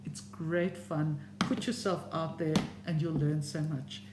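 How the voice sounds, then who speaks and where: Middle-aged, Serbian home language, measured encouraging vlog talk, roomy echo, audible hum. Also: medium pitch. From South Africa. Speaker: language learning vlogger. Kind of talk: opinion talk to camera. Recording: echoey room with steady hum.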